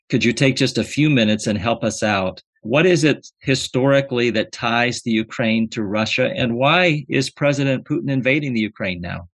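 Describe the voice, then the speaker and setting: Host guy, kinda low voice, earnest and appreciative, dry close sound. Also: a bit bright, dry recording. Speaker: interview host. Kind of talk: interview questions.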